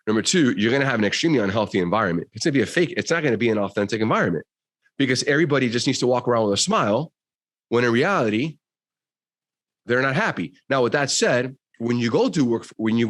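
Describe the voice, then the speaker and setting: A man with a Cuban American accent, a podcast guest who talks in an emphatic, motivational way. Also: medium pitch. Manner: emphatic, motivational, says dude. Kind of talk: casual conversation.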